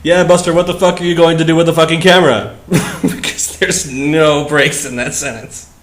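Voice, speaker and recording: A man doing a comedic dramatic reading of bad fan fiction, with deadpan asides and casual, giggly banter. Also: fairly high pitch, normal speed, echoey room.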